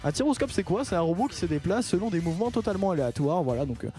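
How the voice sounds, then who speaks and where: A young man talks on a small student radio show with a mellow, soft tone. He rambles through his segment, restarting sentences and filling gaps. Faint music sits behind him. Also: sits high, sing-song, racing. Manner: rambling, many restarts and fillers. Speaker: radio show host and columnist. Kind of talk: casual student radio chat.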